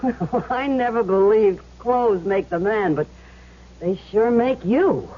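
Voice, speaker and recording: Slow, swooping, uneasy accomplice. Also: female voice, mid-range voice, expressive. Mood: amazed, uneasy. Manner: hesitant, halting, questioning. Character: uneasy female accomplice posing as a lucky gambler. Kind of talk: old-time radio drama acting. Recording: dull 1970s radio recording, hiss.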